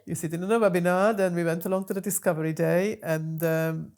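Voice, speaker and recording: Low-voiced woman in her fifties, nasal. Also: steady tone, a little fast, darkish tone. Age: 50s. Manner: chatty, frequent ums. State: nasal from a cold. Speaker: podcast host and guest. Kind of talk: casual interview chat. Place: small recording studio.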